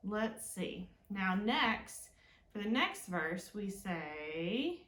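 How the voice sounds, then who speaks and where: A woman leading a children's storytime as a librarian, reading aloud expressively and talking gently and simply to young listeners. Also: mid-range voice, very animated, extremely slow. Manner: gentle, simple, encouraging talk aimed at small children. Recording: roomy, echoing indoor sound.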